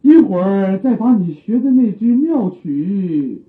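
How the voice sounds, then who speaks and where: An actor playing a genial, hearty elderly father of old China in a Chinese radio drama, speaking in a drawn-out way. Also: high voice, sing-song. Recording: muffled, echoey narrow-band radio drama.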